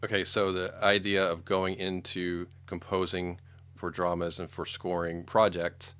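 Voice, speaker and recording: A man's voice: sits low, completely flat delivery, a little slow, a bit bright, clear, resonant tone. A man hosting a casual interview, affirming his guest as he asks questions. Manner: affirming, interjects short agreements. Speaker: podcast host, interviewer.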